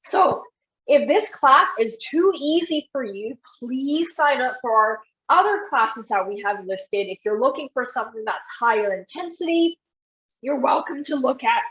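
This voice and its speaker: A woman physiotherapist leading an online exercise class, guiding step by step in an encouraging way, her voice a bit croaky. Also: high voice, fairly bright tone, echoey room, lo-fi sound. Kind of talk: live online exercise instruction.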